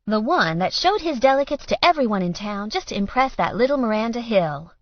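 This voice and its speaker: A woman's voice: fairly high pitch, lively intonation, fairly quick, dry recording, muffled recording, pitched above the performer's own voice, natural voice. A woman voicing a prim, nosy old small-town lady with an indignant manner in an audiobook. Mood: nosy, disapproving.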